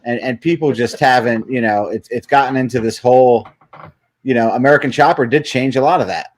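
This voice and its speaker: A man's voice: mid-range voice, fairly quick. A man chatting casually as part of a live stream crew. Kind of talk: casual chat.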